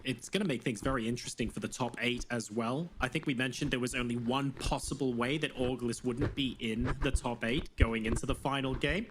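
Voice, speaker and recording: A man casting a live esports tournament, brisk and energetic as he builds hype for the next game. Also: mid-range voice, background noise. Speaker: esports caster.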